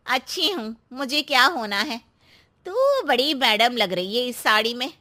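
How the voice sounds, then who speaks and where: A woman voicing a meddling middle-aged aunt in a family story, sly and sulky, with taunting, drawn-out sympathy. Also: high voice, bright, forceful tone, heavily acted. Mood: needling. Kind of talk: Hindi moral story voice acting.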